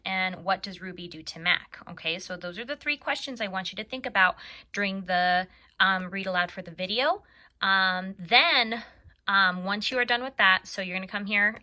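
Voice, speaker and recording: A woman's voice: medium pitch, pushed and bright. A woman teacher giving instructions to young pupils, addressing them directly as she walks through an assignment. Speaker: third grade teacher. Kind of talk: read-aloud and tutorial for children.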